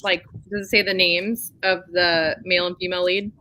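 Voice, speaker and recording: A woman's voice: on the low side, level tone, normal speed, sharp tone, low-quality recording. A woman chatting casually and informally about romance novels as a co-host of a book club show.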